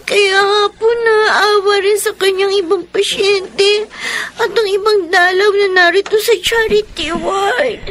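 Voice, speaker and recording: A woman's voice: very high voice, fairly even intonation, slow, a crowd in the background. An actress playing a sorrowful young daughter in a radio drama, crying as she speaks with a Filipino accent. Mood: tearful sorrow.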